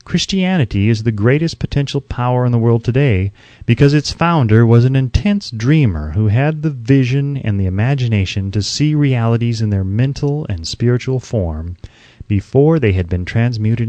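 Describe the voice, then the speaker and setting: Male audiobook reader, mid-range pitch with lively intonation, emphatic instructional delivery, close dry sound. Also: moderate pace, dry recording. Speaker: audiobook narrator. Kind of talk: scripted audiobook narration.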